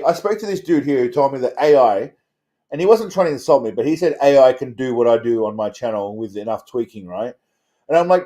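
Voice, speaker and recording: An Australian man with a middling pitch chats off the cuff as the host of a livestream. His delivery is blokey and crude, full of swearing and rambling asides to his viewers. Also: warm tone. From Australia, Melbourne suburbs. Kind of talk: casual livestream chat answering viewers. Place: indoors.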